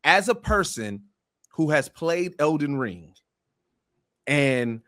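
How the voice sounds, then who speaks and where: A man's voice: fairly high pitch, slow, no room sound, studio quality. A man chatting casually and in slang as a podcast panelist. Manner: slangy, animated phrasing with frequent "bro" and "like".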